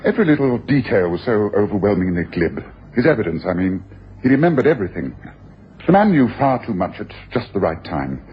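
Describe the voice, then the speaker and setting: A man's voice: lowish voice, very animated, brisk. A self-assured detective with a theatrical, domineering delivery. His voice swings widely as he makes his points, in a dull, noisy old radio broadcast. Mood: reflective, satisfied. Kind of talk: 1980s radio drama acting.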